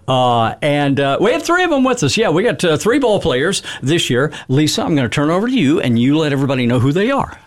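A man's voice: on the high side, big pitch swings, fairly quick. A middle-aged man hosting a local radio talk show, jokey and rambling as he teases his guests. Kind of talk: casual radio chat. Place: radio studio.

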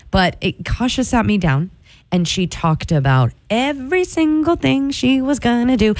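A woman in her forties, a radio morning show host, reading a chatty, confiding ad in her own voice with playful, self-mocking swoops up in pitch, close to a studio microphone. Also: no echo. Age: 40s.

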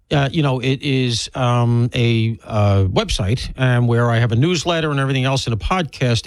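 An older man from Alabama with a deep Southern accent, hosting and joking wryly with his guest. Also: dry recording. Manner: wry, teasing, self-deprecating. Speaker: show host. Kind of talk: joking interview banter.

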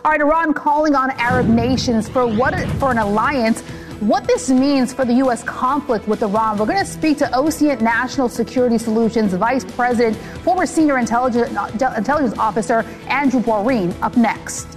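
A woman anchoring a news broadcast, reading the lead and questioning a live guest in a measured, sympathetic way. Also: on the high side, music in the background. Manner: measured, scripted delivery with a sympathetic tone toward the guest.

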